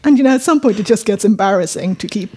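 A woman's voice: medium pitch, expressive, fast, noisy background. A woman journalist from southern Sweden, a native Swedish speaker with a southern Swedish accent, explaining patiently as a podcast guest. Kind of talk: casual interview.

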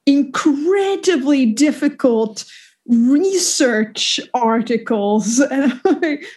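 A woman from the south of Sweden with a Swedish accent, chatting casually as a podcast guest, with many pauses and fillers. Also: high-pitched, lively intonation, darkish tone. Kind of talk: casual chat.

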